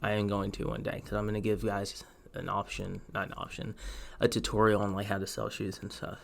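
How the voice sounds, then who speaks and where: A man's voice: lowish voice, monotone, brisk, creaky. A young man chatting casually through a sneaker review, rambling with asides and filler words. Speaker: sneaker vlogger. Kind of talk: casual product review to camera.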